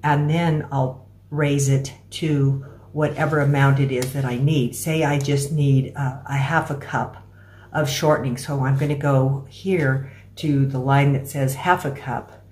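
A middle-aged American woman giving a casual, step-by-step cooking demonstration in a halting, explanatory way. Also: extremely low pitch, steady tone, a little mellow. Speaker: home cooking instructor. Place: small room at home, indoors. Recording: clear background noise with clicks.

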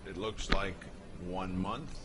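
A man giving an unscripted voice-over, explaining footage in a halting way with pauses and restarts. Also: low-pitched, steady tone, background noise. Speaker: narrator explaining a product video.

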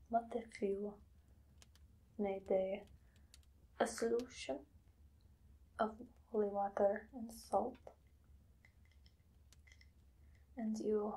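A woman playing a menacing, obsessive female vampire hunter in a whispered ASMR roleplay, slow and deliberate. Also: medium pitch. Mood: musing. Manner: slow, hushed, deliberate, dramatic pauses. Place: small dim room indoors.

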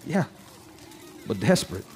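A man's voice: a little slow, darkish tone, natural voice. An actor playing a bitter, haunted, hard-drinking guitarist, telling his tale in a brooding, theatrical noir radio drama style. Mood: grim, haunted. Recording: live stage recording with background noise.

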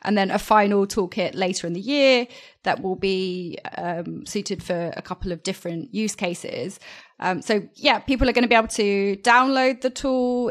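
A young woman co-hosting a podcast, chatting casually and upbeat through a news item, on a studio microphone. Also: mid-range voice. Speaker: podcast co-host. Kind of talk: casual news roundup chat. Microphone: studio microphone with headphones.

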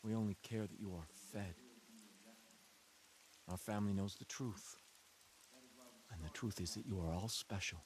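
Actor as intense, deliberate cult leader preaching. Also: male voice, fairly low pitch, close to an everyday voice. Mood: solemn, fervent. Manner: deliberate, repetitive, rhetorical build-ups. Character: intense messianic male cult leader preaching to his flock. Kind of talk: dramatic sermon.

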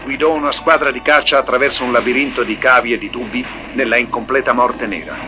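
A man's voice: medium pitch, fairly even intonation, fast. A man reading scripted storybook narration as the narrator of a recorded tale. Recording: music under the voice. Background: music.

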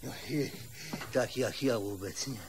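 An actor playing a stubborn, self-important, gruff man in a comic radio play, grumbling and exclaiming pompously. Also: mid-range voice, a little slow, natural voice. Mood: pompous, instructive. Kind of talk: comedy radio play acting.

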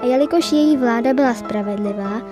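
A woman's voice: fairly high pitch, music in the background. A woman reading scripted narration of a fairy tale as the storyteller.

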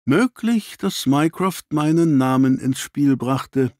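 Gentleman detective, played by a male narrator: shrewd, inquisitive, formal, raised pitch, audiobook crime story. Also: animated, slow, no room sound, studio quality. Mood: keen, dry.

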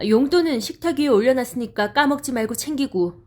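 A woman voicing a busy, pushy working mother in an audio drama, talking in a brisk, nagging way. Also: high-pitched, animated.

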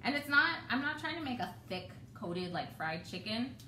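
A woman in her thirties hosting a live home cooking demo, chatty and relaxed, laughing often. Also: a little high, normal speed. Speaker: cooking show host. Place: home kitchen, indoors. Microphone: camera across the kitchen. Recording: roomy, distant, noisy home kitchen.

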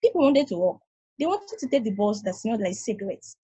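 A woman reading the part of a patient, knowing space probe in a play, measured and explanatory, over a video call. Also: medium pitch, very animated, warm tone, slightly rough, dry recording. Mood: reflective, explanatory. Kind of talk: table read of a stage play. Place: home room, over a group video call.

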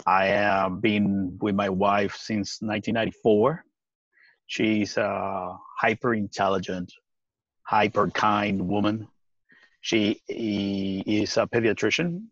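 A man's voice: lowish voice, fairly even intonation, a bit bright, dry recording. Man in his forties on a lo-fi webcam call. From Puerto Rico. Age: 40s. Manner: earnest, halting, many restarts, laughs often. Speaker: guest. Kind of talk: casual video-call interview. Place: outdoors on a deck by trees. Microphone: video call webcam. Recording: dry, dull lo-fi webcam sound.

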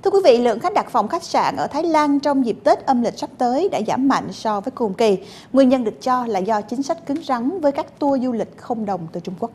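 Lively young female news anchor. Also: fairly high pitch, a little fast, a little hiss or hum. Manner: lively, expressive newsreading. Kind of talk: anchor introducing stories. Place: TV news studio.

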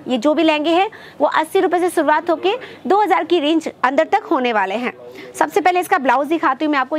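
A high-voiced young woman hosts a shopping vlog from inside a fabric-stacked shop. She talks to her viewers as friends, moving through the items with lively sales patter. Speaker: shopping vlogger presenting wholesale lehengas. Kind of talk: product showcase vlog. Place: textile shop full of stacked fabric, indoors.

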